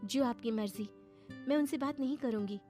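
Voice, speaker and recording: Woman as a high-voiced, timid young bride. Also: normal speed, natural voice. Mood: curious. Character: young new bride, timid, kindly, easily startled. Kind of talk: Hindi animated storybook acting. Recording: loud music bed behind voice.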